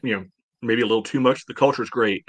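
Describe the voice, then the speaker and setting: A man's voice: medium pitch, fast, a bit bright. A man in casual sports podcast talk as a panelist, with a wry, sardonic way of speaking.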